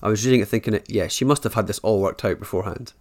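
Fast-talking, enthusiastic male interviewer. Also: lowish voice. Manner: effusive, informal, enthusiastic. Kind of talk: casual interview chat.

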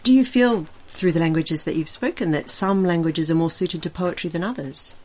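Woman host, lowish voice, measured and articulate, reads a scripted intro and then asks questions. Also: darkish tone. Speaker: interviewer and presenter.

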